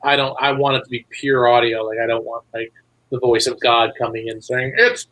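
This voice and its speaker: A man's voice: mid-range voice, flat delivery, a little fast, a bit bright, poor audio. A man speaking casually as a guest creator in a conversation. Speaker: guest, audio drama creator. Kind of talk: casual chat.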